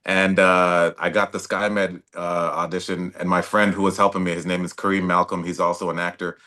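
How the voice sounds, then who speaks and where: Laid-back deep-voiced young man. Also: level tone, moderate pace, pushed and bright. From Toronto, Canada. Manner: laid-back, many fillers and restarts. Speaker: actor guest. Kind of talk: casual interview talk.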